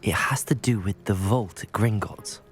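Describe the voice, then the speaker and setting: A man's voice: lowish voice, normal speed, faint music behind, lightly acted. A young man playing a composed, thoughtful student wizard in a video game, speaking politely and with reserve. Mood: earnest, explanatory. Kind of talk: video game cutscene acting.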